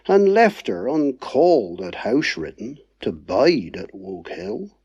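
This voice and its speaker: A man with a Dorset accent reciting dialect poetry in a measured, mournful way. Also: mid-range voice, darkish tone, no echo, muffled recording.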